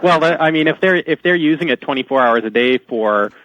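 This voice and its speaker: A man's voice: on the high side, animated, a little fast, no echo. A man answering questions as a technical guest in a radio interview. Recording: dull dry radio line.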